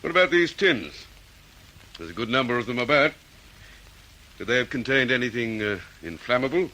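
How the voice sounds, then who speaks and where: A man's voice: mid-range voice, lively intonation, normal speed, pushed and bright, low-quality recording. A man playing a laconic, inquisitive senior detective in an old radio drama, musing and asking probing questions. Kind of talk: 1940s radio drama acting. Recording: vintage radio recording, faint noise.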